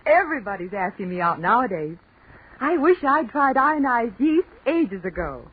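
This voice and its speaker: A woman's voice: on the high side, big pitch swings, moderate pace, breathy edge. A woman playing a young customer in a 1940s radio commercial, on a tinny old recording. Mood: delighted, gushing. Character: weary, plaintive young woman seeking a tonic.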